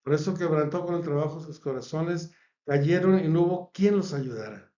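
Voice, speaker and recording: A man's voice: on the high side, normal speed, mellow, roomy sound, lo-fi sound. A man with a Mexican accent preaching a sermon in an earnest cadence, stacking phrases one after another. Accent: Mexican Spanish. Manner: earnest, repetitive listing cadence.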